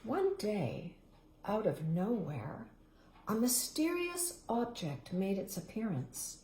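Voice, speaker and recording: A woman reading a children's storybook aloud, expressive and savoring each word, stopping for playful asides to her young listeners. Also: medium pitch, slow. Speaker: local councillor reading a children's book. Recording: echoey room.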